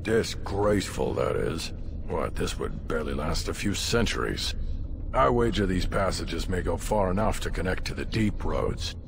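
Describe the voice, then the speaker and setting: An actor voicing a proud, grumbling dwarf master stonemason in a video game. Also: male voice, deep voice, lively intonation, moderate pace, creaky, background noise. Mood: disdainful. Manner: grumbling, disdainful, blunt.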